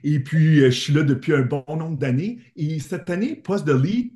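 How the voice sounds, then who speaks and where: Enseignant ontarien dans une pièce résonnante. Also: male voice, on the high side, lively intonation, normal speed, mellow, reverberant. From Eastern Ontario, Canada. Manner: earnest, enthusiastic storytelling. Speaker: teacher, guest. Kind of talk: interview answers. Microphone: video call.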